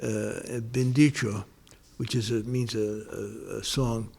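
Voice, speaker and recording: A man's voice: fairly low pitch, fairly even intonation, gravelly, a little mellow, husky. An older man reminiscing. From New York, United States. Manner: rambling, many restarts and pauses. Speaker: interviewee. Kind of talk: casual oral-history interview.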